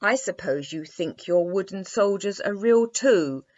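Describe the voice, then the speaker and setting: A haughty little girl from a bedtime story, played by a woman. She is bossy and teasing, with a lifted, very lively pitch. The sound is dry. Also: unhurried, no echo. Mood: scornful. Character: haughty, scornful little girl, a minister's daughter. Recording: dry, slightly dull lo-fi recording.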